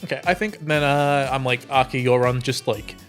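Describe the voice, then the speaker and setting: A man with a medium-pitched voice talks through his moves as a player in a tabletop game. His delivery is casual and improvised, as if working things out aloud. Faint music sits behind him. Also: normal speed, fairly bright tone. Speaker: tabletop role-playing player.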